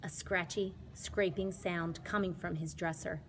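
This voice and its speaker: Lady teacher reading a kids book, lowish voice, slow and dramatic, bit of background noise. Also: steady tone. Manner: dramatic, emphatic storytelling for children. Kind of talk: expressive children's storybook read-aloud.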